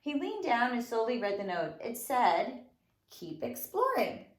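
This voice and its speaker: A woman's voice: a little high, sing-song, normal speed. A woman reading a storybook aloud to young children as a teacher, expressively and with dramatic emphasis. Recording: roomy, echoing room sound.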